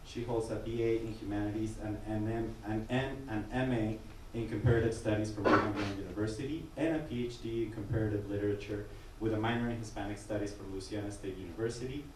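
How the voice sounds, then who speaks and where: A man from Mexico moderating a public museum panel, earnest, with a voice he calls loud. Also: lowish voice, fairly even intonation, fast talker. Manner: says he has a loud voice; earnest. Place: museum gallery. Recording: echoey room, distant mic, noisy.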